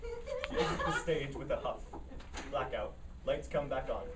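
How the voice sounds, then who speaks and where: A man's voice: medium pitch, wildly swooping pitch, a little slow. A man reading out scripted stage directions as the narrator of a staged reading, recorded from a distance. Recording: distant mic, faint room noise.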